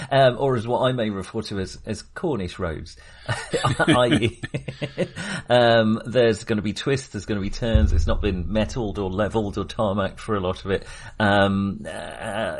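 A man co-hosting a film discussion, talking casually and haltingly with a wry manner. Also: fairly low pitch. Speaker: film podcast co-host.

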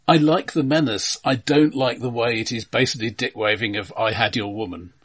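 A man's voice: medium pitch, fairly quick, fairly bright tone, slightly rough. A man co-hosting a film podcast, casually explaining things in an analytical way.

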